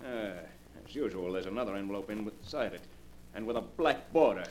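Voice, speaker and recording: A man's voice: lowish voice, lively intonation, brisk, a little mellow. A man acting a ruthless, paranoid businessman in a 1940s radio mystery, commanding and coldly sardonic in a theatrical way. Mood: coldly menacing. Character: ruthless, paranoid businessman, menacing husband turned killer. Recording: noisy old 1940s radio recording.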